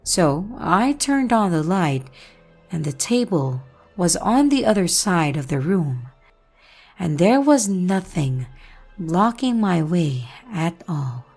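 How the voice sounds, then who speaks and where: A woman hosting and narrating creepy first-person stories in a slow, expressive way. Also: on the low side, soft background music. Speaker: channel host and narrator.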